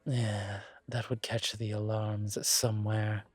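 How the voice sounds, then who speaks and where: Casting: male actor as a self-assured demon investigator, an incubus with dry wit, very slow measured delivery and flat, restrained intonation, for roleplay audio. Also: lowish voice, breathy edge, dry recording. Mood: serious, measured. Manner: measured, deliberate, wry, conversational. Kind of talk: scripted one-sided roleplay audio drama.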